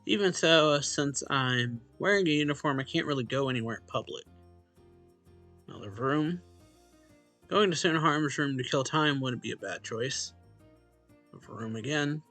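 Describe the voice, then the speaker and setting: A man's voice: mid-range voice, animated, moderate pace, long pauses, pushed and bright, faint music behind. A man hosting a let's play, reading a visual novel's narration and dialogue aloud and casually acting out the lines.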